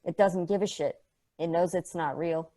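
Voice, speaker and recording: A woman's voice: on the low side, steady tone, moderate pace, mellow, natural voice. A woman playing a sardonic, passionate space probe in a table read, casual, rambling and sweary. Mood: wry, ranting. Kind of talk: conversational acting in a script table read.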